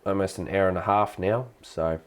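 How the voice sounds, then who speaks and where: A man with an Australian accent and a cold, explaining things casually and haltingly as the host of a hobbyist live stream. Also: low-pitched, fairly even intonation. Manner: halting, hesitant delivery with repeated words. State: has a cold.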